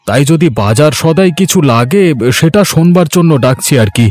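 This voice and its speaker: Casting: male actor as humble cartoon farmer dad, highish voice, gentle and homely, light music bed. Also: animated, a little fast, close to an everyday voice. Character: poor, gentle village farmer and father. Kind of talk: Bengali children's cartoon voice acting.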